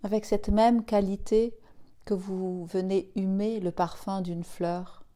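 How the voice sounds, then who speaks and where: A woman leading a guided yoga nidra relaxation, speaking gently and soothingly in short, spaced phrases. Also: medium pitch, level tone, takes it slowly. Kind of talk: slow guided relaxation meditation.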